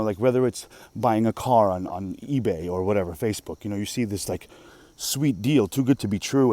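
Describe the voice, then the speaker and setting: Mellow-voiced man ranting, Russian native speaker. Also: on the low side, dry recording. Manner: emphatic, profane, rambling. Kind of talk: casual rant monologue.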